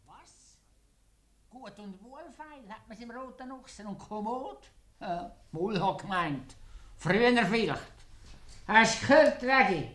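Old rural soldier in radio comedy: hearty braggart, mocking, jovial, teasing. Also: male voice, high-pitched, animated, takes it slowly, pauses often, fairly bright tone, full character voice. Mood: jovial, grumbling. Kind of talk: 1950s dialect-flavoured radio comedy acting.

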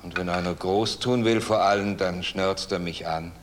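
Schauspieler als grollender, tiefer Dorfbewohner. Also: male voice, deep voice, steady tone, moderate pace, a little hiss or hum, natural voice. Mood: aggrieved. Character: aggrieved, boastful village man, Hitler's self-proclaimed half-brother.

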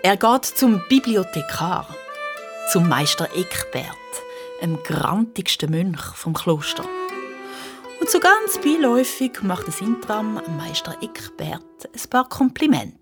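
A woman with a Swiss German accent narrating a children's audio drama in an animated, expressive storytelling style. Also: mid-range voice, takes it slowly. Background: loud music with violin.

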